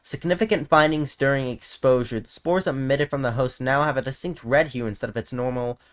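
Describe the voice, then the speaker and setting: A man's voice: medium pitch, fairly quick. A man giving informal, slangy reaction commentary as a video host, sometimes reading text aloud. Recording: noticeable background noise.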